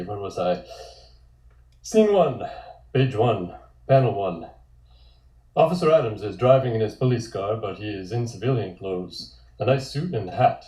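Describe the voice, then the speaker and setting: A man playing a musing writer at a typewriter, dictating scripted scenes aloud to himself in a halting, theatrical way. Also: mid-range voice, mellow, lightly acted. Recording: roomy, echoey sound.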